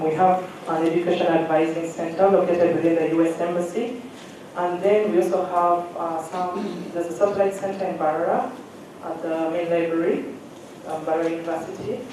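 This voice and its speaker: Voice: medium pitch, steady tone, fairly quick, darkish tone. An education adviser gives direct, instructive advice to students, checking now and then that the audience is following. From Uganda. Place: large hall at a careers fair. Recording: echoey hall, distant mic, faint hum.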